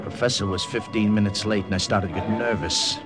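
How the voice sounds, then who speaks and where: Guy playing a tense american secret agent, lowish voice, clipped delivery, old radio drama w/ loud music behind. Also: fairly even intonation, brisk, lightly acted. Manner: clipped, terse, matter-of-fact. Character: tense American undercover agent narrating his wartime mission. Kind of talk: old-time radio drama narration and dialogue.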